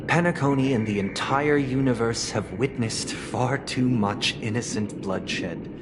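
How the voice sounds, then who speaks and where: An actor playing a calm, persuasive young male leader who speaks in a measured, formal, gently condescending way. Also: mid-range voice, heavy background noise, close to an everyday voice. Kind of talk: video game voice acting. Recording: game cutscene with loud score. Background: orchestral music.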